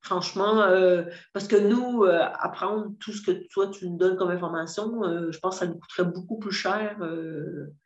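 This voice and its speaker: Québécois woman, fifties, telling her own story haltingly and sincerely; roomy, dull recording. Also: lowish voice. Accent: Québécois French. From Quebec. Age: 50s. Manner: hesitant, many fillers and restarts, earnest. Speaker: program client giving a testimonial. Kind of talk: candid personal testimony. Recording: roomy echo, dull lo-fi sound.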